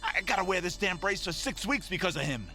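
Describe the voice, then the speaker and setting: A fairly high, lively-pitched man's voice from an actor plays a shady tough-guy detective in an audio drama. He is defensive, rattled and blustering, with music playing clearly behind him. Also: fairly quick, heavily acted. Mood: rattled, insistent.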